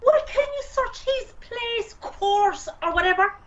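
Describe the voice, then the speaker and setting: High-pitched, irate Irish horse owner, acted by a woman. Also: expressive, unhurried, muffled recording, noisy background. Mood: indignant. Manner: indignant, sarcastic, scornful. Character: irate, sharp-tongued woman who owns racehorses. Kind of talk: amateur radio play acting.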